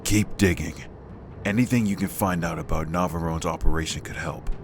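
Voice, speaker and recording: A man playing a grim, hardboiled vigilante in a comic dub, terse and deadpan like noir narration. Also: deep voice, a little fast, noisy background. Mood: cold resolve.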